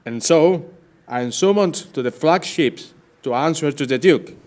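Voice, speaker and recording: An actor playing a proud, wronged Spanish sea captain in an audio play, with formal, declamatory speech. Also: male voice, a little high, unhurried, a little mellow, natural voice. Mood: indignant.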